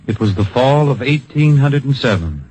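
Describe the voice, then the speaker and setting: An actor narrating a tragic radio drama in a solemn, poetic, storytelling manner. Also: male voice, mid-range voice, animated, normal speed, a little mellow, husky, low-quality recording. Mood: solemn, lyrical. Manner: lyrical, grave storytelling. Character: solemn, poetic male narrator of a patriotic tragedy. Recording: vintage radio recording with music behind.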